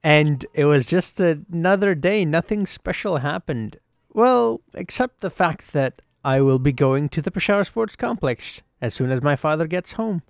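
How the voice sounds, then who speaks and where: A man playing an excitable, earnest young schoolboy cricketer who tells his story conversationally, with emphatic pauses, in a dramatised game story. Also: fairly high pitch, gravelly, dry recording, studio quality, voice pushed up. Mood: earnest, reflective. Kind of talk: first-person dramatised narration over game cutscenes.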